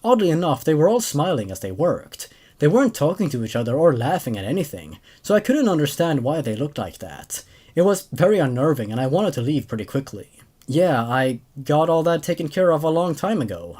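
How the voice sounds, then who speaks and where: A man in his twenties with an expressive, emphatic way of talking, hosting horror stories. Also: fairly high pitch. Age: 20s. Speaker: host reading horror stories. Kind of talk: dramatic story narration, then casual commentary.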